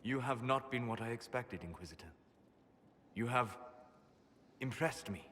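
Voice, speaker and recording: An actor playing a solemn, courteous, melancholy scholar and wanderer in a dramatic game scene, speaking in a measured, formal way. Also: male voice, mid-range voice, lively intonation, a bit bright, big-room sound, slight background noise. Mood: respectful.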